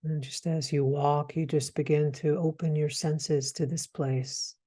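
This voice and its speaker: A man leading a guided meditation, speaking gently in hesitant phrases with soft pauses. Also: fairly high pitch, level tone.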